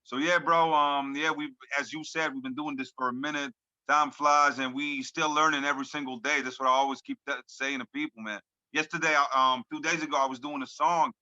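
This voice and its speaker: A man's voice: fairly high pitch, fairly even intonation, brisk, sharp tone, no echo. A man in his thirties, a hip hop artist as guest, talking in a laid-back slangy way full of fillers over a video call. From born in France, grew up in Lebanon. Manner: laid-back slangy talk, many "you know what I'm saying" fillers. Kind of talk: casual video call interview. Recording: dull lo-fi video call audio.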